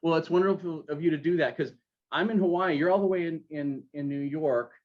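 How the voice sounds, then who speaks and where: A man hosting an interview, asking questions in a halting way with many restarts. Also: fairly high pitch. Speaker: podcast host. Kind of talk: casual interview. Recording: dull lo-fi sound.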